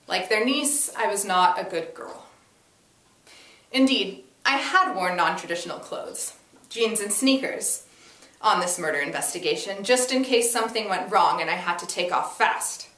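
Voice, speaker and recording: A woman's voice: mid-range voice, lively intonation, unhurried, fairly bright tone. Echoey, distant woman reading a story dramatically. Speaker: reader performing a dramatic reading. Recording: echoey room, distant mic.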